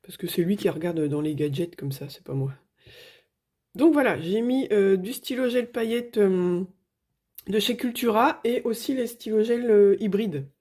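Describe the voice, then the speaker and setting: A hoarse middle-aged woman chatting casually to her phone at her desk, rambling with lots of fillers and restarts. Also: medium pitch, normal speed, dark tone. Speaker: vlogger. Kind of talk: casual chat.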